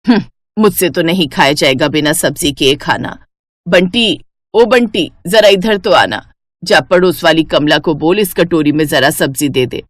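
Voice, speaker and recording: A woman's voice: low voice, moderate pace, dry recording, studio quality, voice pushed down. A woman voicing a blunt, outspoken elderly mother-in-law in a cartoon story. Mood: grumbling.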